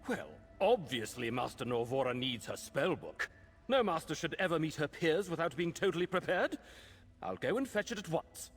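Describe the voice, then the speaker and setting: A man's voice: fairly high pitch, very animated, normal speed. A man voicing a pompous, self-important wizard's spokesman in a video game, speaking officiously. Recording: long hall reverb, faint music.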